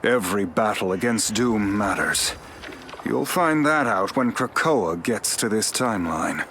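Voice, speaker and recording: Solemn, self-assured sorcerer. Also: male voice, lowish voice, expressive, a little slow, sharp tone, a little hiss or hum, exaggerated voice. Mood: resolute. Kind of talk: video game voice acting.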